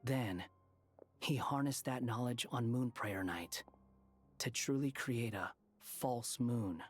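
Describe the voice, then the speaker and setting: A man's voice: mid-range voice, slow pace, breathy edge. Aloof young man for a game, medium register, cynical explainer, faint music. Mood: explaining. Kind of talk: video game voice acting.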